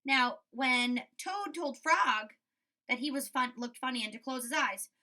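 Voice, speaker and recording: A woman teacher reading a story aloud to children and commenting on it, expressive, often checking in with her listeners. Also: high-pitched, measured pace. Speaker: school teacher.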